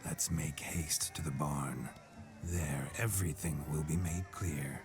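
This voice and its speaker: Casting: a slow, low-voiced ghost-possessed seducer, wry and smug, with game music behind. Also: male voice, full character voice. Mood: flirting. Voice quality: deep and low, often near a whisper. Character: man possessed by a noble ghost, gruff, flirtatious, smug. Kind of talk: video game cutscene voice acting.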